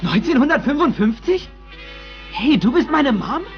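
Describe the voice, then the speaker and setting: A man playing a cheeky, bewildered teenage boy in dubbed film dialogue, expressive and incredulous. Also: very high voice, normal speed, muffled recording, faint music behind, exaggerated voice.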